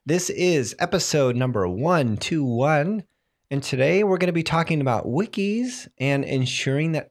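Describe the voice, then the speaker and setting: A man hosting a solo tech podcast, explaining software settings while fighting a cold, clearing his throat now and then. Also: a little high. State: has a cold, voice strained. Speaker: podcast host.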